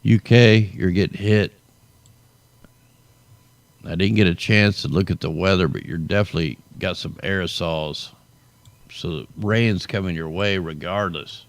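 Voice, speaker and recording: A man with a very low, gravelly voice talks casually through a weather commentary. He sounds tired and hoarse, as if his voice is giving out. The sound is close and dry, with no room around it. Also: unhurried, long pauses, dry recording. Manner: loose, conversational, drawls "man" at line ends. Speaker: show host. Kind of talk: casual weather commentary over satellite maps.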